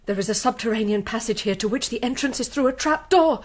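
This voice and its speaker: An actress playing a distressed, virtuous young princess in a melodramatic, pleading gothic radio drama style. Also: female voice, fairly high pitch, fast, close to an everyday voice. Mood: desperate, hopeful.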